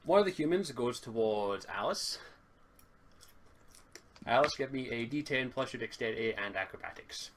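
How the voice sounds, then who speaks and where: A man's voice: medium pitch, animated, normal speed, long pauses, a bit bright. Scottish man running a tabletop game, faint noise. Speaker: game master. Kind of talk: casual game narration with dice calls. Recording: home mic with faint noise and clicks.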